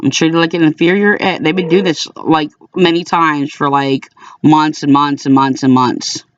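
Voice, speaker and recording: A man speaking informally as an aggrieved caller, in a halting, repetitive way, recording complaints about calls. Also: fairly high pitch, fairly even intonation, fairly bright tone, smooth, no room sound, far from the mic, muffled recording. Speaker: caller recording own phone calls to a police dispatcher. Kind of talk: informal complaint to camera and on phone.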